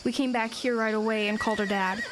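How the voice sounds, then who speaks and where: Woman playing a high-voiced, practical small-town girl. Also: fairly even intonation, background noise, close to an everyday voice. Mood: matter-of-fact. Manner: brisk, practical, earnest. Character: plucky, matter-of-fact small-town teenage girl sleuth. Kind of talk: audio drama acting.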